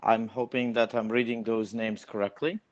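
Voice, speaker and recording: A man's voice: mid-range voice, level tone, dry recording, muffled recording. A man chairing a conference session, a non-native English speaker introducing the speakers carefully. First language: not English. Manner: slow, careful introductions with soft laughs.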